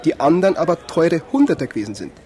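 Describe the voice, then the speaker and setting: Grumbly bavarian football bloke, bit high voice, touchy, radio play, crowd noise outside behind him. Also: male voice, wildly swooping pitch, fast, warm tone. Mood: subdued, insistent. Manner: colloquial, grumbling. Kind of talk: naturalistic radio drama dialogue. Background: football ground crowd.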